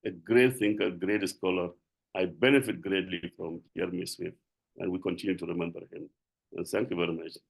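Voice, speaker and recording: South sudanese guy on a video call, gravelly low voice, halting and earnest, keeps restarting. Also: steady tone, poor audio. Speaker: panelist. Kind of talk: tribute speech on a webinar.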